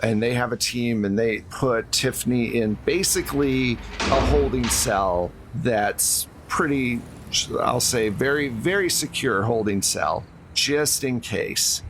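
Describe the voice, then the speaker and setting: A man's voice: mid-range voice, takes it slowly, hardly any pauses, noisy background. A man narrating casually as the game master of a tabletop roleplaying game.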